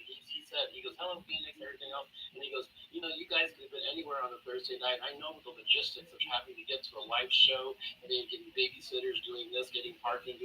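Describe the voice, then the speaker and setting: A man with a raspy voice chatting casually as a caller, telling stories and laughing often. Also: medium pitch, pushed and bright, slight background noise, rough recording. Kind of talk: casual chat.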